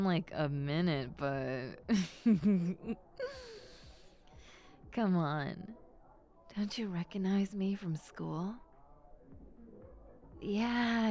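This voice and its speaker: A woman's voice: lowish voice, darkish tone, heavily acted. A woman playing a tipsy, coy popular schoolgirl who speaks hesitantly and giggles, in an intimate roleplay addressed to the listener. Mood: tipsy, embarrassed. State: acting drunk.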